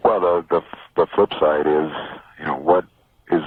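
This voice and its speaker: Very deep-voiced man on tinny phone line. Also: fairly even intonation, moderate pace, slightly rough, dry recording. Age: 40s. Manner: hesitant, mild, deferential. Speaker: radio show caller. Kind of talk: phone-in conversation. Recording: narrow tinny phone line over radio.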